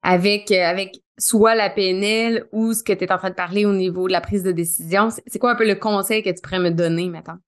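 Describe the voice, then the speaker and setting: A woman's voice: medium pitch, steady tone, a little fast, few pauses. A woman with a Quebec French accent, interviewing in a casual, colloquial conversational style as host.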